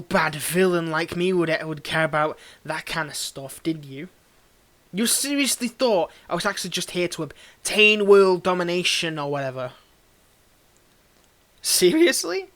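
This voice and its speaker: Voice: medium pitch, fairly bright tone, lightly acted. An actor playing a cold, condescending tsundere villain in a dramatic audio roleplay, taunting and theatrical as they address the listener directly. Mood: scornful, ranting. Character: cold, mocking tsundere villain, grudgingly tending a wounded hero.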